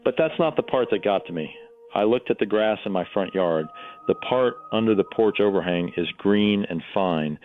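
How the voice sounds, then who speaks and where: A man playing an uneasy local radio caller in an audio drama, plainly describing things over a tinny phone-like line. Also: deep voice. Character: uneasy local man reporting what he saw. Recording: tinny, phone-like narrow sound.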